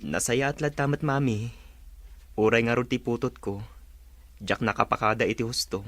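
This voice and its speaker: A mid-pitched man acting as a remorseful young father in an Ilocano drama. He is earnest and polite, a grown son talking respectfully to his elders. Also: moderate pace, a bit bright, no room sound, lightly acted. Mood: downcast.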